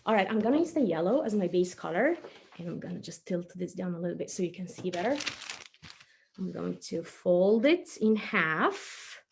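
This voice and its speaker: A woman's voice: lowish voice, lively intonation, moderate pace, gravelly, a little mellow, slight background noise. A woman leading a children's craft tutorial in a playful, encouraging way, speaking to young viewers as one of them. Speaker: children's craft and story presenter.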